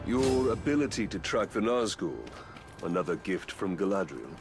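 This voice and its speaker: Battle-ready ranger, deep voice, wary, practical, serious, game cutscene, faint music. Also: male voice, very animated, normal speed. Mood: suspicious. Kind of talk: dramatic video game acting.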